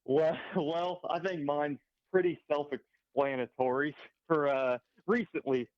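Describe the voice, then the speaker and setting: A man's voice: fairly high pitch, fairly even intonation, unhurried, dry recording, muffled recording. A young man, a race car driver, chatting casually on a podcast and filling his talk with "like". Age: young adult. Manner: casual, heavy on "like".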